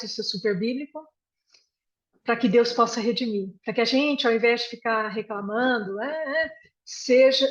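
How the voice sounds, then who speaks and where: A woman's voice: a little high, echoey room, lo-fi sound. A Brazilian woman sharing earnestly at a prayer meeting, speaking informally and rambling, with frequent restarts.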